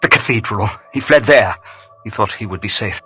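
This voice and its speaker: An actor voicing a timid, servile butler in a video game, husky and pleading, with a nervous stammer. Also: male voice, fairly low pitch, normal speed, bright, forceful tone, soft background music, heavily acted. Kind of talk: theatrical video game voice acting.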